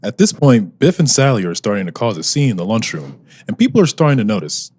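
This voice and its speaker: A man's voice: on the low side, big pitch swings, fast talker, darkish tone, husky. A man narrating a scripted radio drama in a wry, tongue-in-cheek way.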